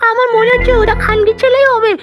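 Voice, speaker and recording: A woman's voice: very high voice, level tone, fast, over music. A woman voicing a stubborn, foul-mouthed cartoon child with a whiny, insistent manner. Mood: pleading. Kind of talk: comic cartoon skit voice acting.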